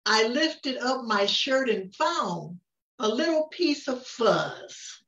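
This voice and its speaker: An older woman reads a rhyming picture book aloud with expressive pauses. Her voice sits fairly high and moves very slowly. The recording is echoey, as if the microphone is some way off in the room. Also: gravelly. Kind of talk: read-aloud of a rhyming children's story. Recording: roomy, distant, dull-sounding microphone.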